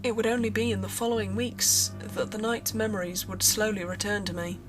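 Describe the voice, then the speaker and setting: Casting: female horror-story narrator, mid-pitched, scripted read with clear music bed. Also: steady tone, fairly quick, low-quality recording.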